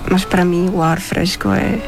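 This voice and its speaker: A woman from Estonia speaking Portuguese as a second language with an Estonian accent, a guest answering interview questions and often pausing to find words. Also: on the low side, flat delivery, gravelly, over music. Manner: searches for words, many short agreeing replies. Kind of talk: interview conversation.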